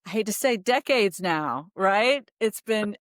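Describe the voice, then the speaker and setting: A woman's voice: mid-range voice, animated, a little slow, no echo, clean studio sound. A middle-aged woman hosting a podcast in a conversational interview style. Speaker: podcast host.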